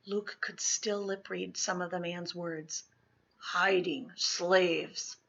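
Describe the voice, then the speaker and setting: Bright-voiced, husky woman reading a storybook. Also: fairly low pitch, level tone, unhurried, highs cut off. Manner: dramatic, with emphatic swells and hushed drops. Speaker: storybook reader. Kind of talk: expressive read-aloud of children's historical fiction.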